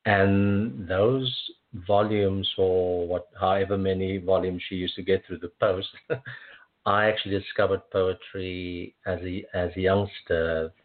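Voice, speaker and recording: Very deep-voiced man on a phone line. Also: fairly even intonation, a little slow. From South Africa. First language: Afrikaans. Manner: hesitant, many fillers and restarts, laughs often. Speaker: poet guest. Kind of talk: conversational interview and poetry reading.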